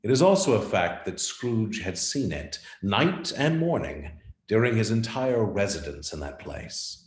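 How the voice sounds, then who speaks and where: Deep, slow, theatrical male narrator. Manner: theatrical, deliberate emphasis. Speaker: narrator of a radio play. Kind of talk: scripted dramatic storytelling. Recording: roomy, echoing sound.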